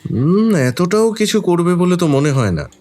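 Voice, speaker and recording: Man playing a shrewd detective, expressive musing voice. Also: high-pitched. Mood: musing, suspicious. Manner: musing, deliberate, wry. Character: shrewd, calm, wry male detective. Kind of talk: audio drama acting.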